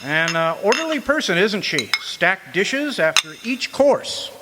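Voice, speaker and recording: A man's voice: high voice, big pitch swings, unhurried. A man playing a folksy homicide sergeant in an amateur radio mystery, matter-of-fact and musing with hems and haws. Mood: probing, musing. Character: brisk, folksy male police homicide sergeant in charge. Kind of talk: amateur old-time radio detective acting. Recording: light hiss and background noise.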